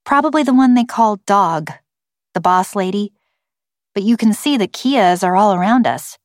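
A woman voicing an earnest, quick-witted, defiant girl in an audiobook, speaking in an assertive, argumentative way. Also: medium pitch, animated, dry recording, clean studio sound, pitched above the performer's own voice, natural voice. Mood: matter-of-fact. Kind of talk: audiobook character voice.

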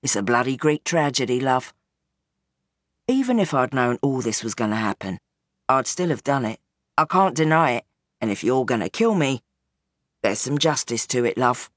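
A woman voicing an aging male crime boss, speaking in a low and heavy way, weary, calm and unrepentant. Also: moderate pace, long pauses, no echo, clean studio sound. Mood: resigned.

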